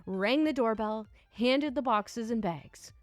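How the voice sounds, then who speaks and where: A woman narrating online stories in an animated way, with exasperated, indignant asides. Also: a little high, slow, no room sound, soft background music. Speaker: storyteller reading online stories. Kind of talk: story narration with commentary.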